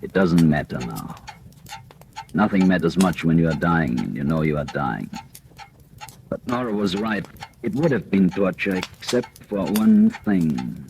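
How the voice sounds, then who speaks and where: An actor in 1940s radio drama voicing a shot, dying husband who narrates his fate in a grim, measured way. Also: deep voice, big pitch swings, full character voice. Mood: resigned. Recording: noisy old radio broadcast.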